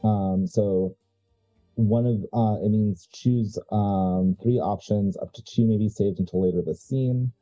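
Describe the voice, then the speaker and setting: A man's voice: lowish voice, steady tone, unhurried, mellow, highs cut off. A man playing a tabletop game, talking casually and hesitantly, recorded a little dully with music behind him.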